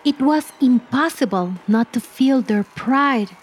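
Woman reading a kids bio story, kinda high voice, takes it slow and expressive. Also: slight background noise. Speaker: narrator of a children's biography podcast. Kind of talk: scripted storytelling narration. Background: music bed.

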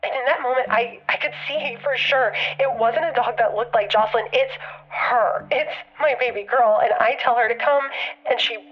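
A woman's voice: fairly high pitch, normal speed, close to an everyday voice. Actress as anxious American woman on a muffled voicemail. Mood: pleading, upset. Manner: hesitant, rambling, earnest. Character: anxious grieving woman leaving a long, rambling voicemail. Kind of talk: naturalistic audio drama monologue, confessional. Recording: muffled lo-fi phone message with music behind.

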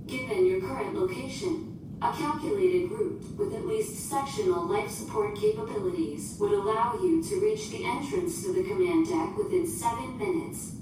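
Echoing, high-voiced female ship computer. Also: big pitch swings, exaggerated voice. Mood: flat, compliant. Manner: measured, stilted, precise official phrasing. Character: female ship computer, literal, formal, unflappable and emotionless. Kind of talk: science fiction audio drama. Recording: echoing, distant, noisy ship ambience.

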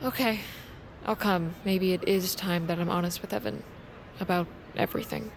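A woman's voice: lowish voice, steady tone, moderate pace, a little hiss or hum, natural voice. An actress playing a hesitant, anxious teenage girl in a naturalistic audio drama.

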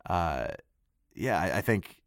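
Male guest voice actor, very low register, dry sound, enthusiastic, halting casual chat. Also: big pitch swings, vocal fry, no room sound.

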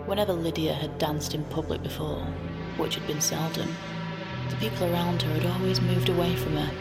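An actress playing a calm, knowing guide, narrating audio fiction in a measured, assured way. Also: female voice, sits low, over loud music. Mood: wry storytelling.

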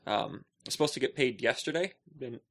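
Male podcast co-host, bright mid-pitched voice, casual, jokey banter. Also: lively intonation, a little fast.

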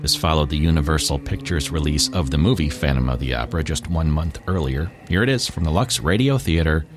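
A man's voice: extremely low pitch, expressive, a little fast. A man hosting a show, reading a scripted introduction to an old radio broadcast. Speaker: podcast host. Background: music.

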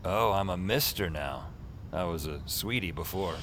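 A man's voice: low voice, moderate pace, a bit bright, slight background noise. An actor playing a wisecracking man, wry and sardonic, overwhelmed in an audio drama. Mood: wry, wary. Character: wisecracking male stranger, overwhelmed by powers. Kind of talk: audio fiction acting, first-person asides.

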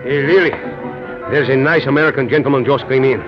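A man's voice: medium pitch, fast talker, close to an everyday voice. Male actor as fawning, money-hungry border club boss, Spanish accent, muffled vintage radio drama with music behind. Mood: coaxing. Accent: Spanish-accented English. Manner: ingratiating, broken phrasing. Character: wheedling greedy Mexican border nightclub proprietor. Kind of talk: 1940s radio acting.

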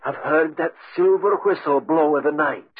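Morbid loyal old servant, husky n a bit high, muffled 40s radio play. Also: male voice, normal speed. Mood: grave, foreboding. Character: devoted, morbid old Scottish manservant. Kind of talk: 1940s radio drama acting. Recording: muffled 1940s radio recording.

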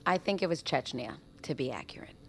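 A woman's voice: rumbling low, expressive, a little fast, a little hiss or hum. A woman acting as a weary, guilt-ridden explorer with a wry streak in a naturalistic audio drama. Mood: wry, defiant.

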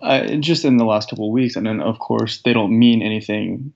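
A young man with a deep, raspy voice talks in a relaxed, reflective way, often pausing on fillers. His low voice has a heavy gravelly fry. The recording sounds muffled and lo-fi. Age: 20s. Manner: reflective, thoughtful, many fillers. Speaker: guest, professional soccer player. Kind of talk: casual interview conversation. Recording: dull lo-fi sound.

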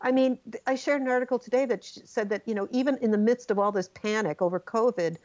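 A woman's voice: on the high side, fast, a little mellow, no echo. An earnest woman talks politics as a guest, often circling back over her own words as she thinks. Her voice is set in a dry space, and the audio is a little dull and lo-fi. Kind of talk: casual political discussion.

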